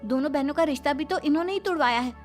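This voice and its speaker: A woman's voice: high-pitched, racing, soft background music, pitched above the performer's own voice, lightly acted. A woman voicing an indignant, outspoken young sister in a family story, protesting and argumentative. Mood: defiant, sarcastic.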